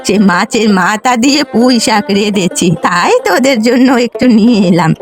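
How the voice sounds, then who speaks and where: A woman's voice: on the high side, expressive, normal speed, faint music behind, lightly acted. A woman voicing a kindly old village granny in a cartoon, affectionate and neighbourly. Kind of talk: Bengali cartoon voice acting.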